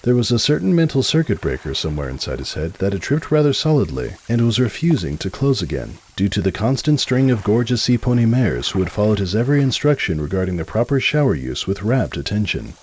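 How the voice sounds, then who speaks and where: A man's voice: lowish voice, big pitch swings, fairly quick, hardly any pauses, a little mellow, breathy edge. A man giving a dramatic storybook narration.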